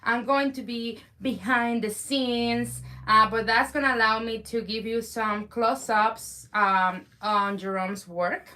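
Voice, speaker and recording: Woman host, live stream, upbeat and chatty, slightly high, bright tone. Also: steady tone, slow, hardly any pauses. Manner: upbeat, chatty, addresses viewers.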